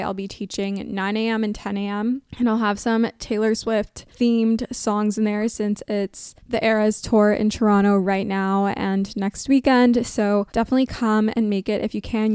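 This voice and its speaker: A woman in her twenties hosting an advice podcast, chatting casually with a level, monotone delivery and lots of "like" fillers. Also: medium pitch, clear, resonant tone, dry recording, clean studio sound. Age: 20s. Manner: chatty, says she sounds monotone, frequent "like" fillers. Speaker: podcast host.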